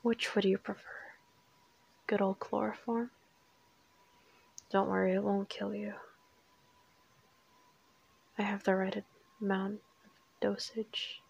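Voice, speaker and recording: A woman performs a crazed female assassin holding a captive in an audio roleplay. Her voice is whispery and husky with a gravelly fry. She keeps a narrow, controlled pitch, taunting and threatening without any hurry. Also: little pitch movement, darkish tone, exaggerated voice. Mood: coolly threatening. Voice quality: breathy, whispery. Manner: taunting, unhurried, coolly threatening. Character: crazed, teasing, menacing female assassin kidnapper.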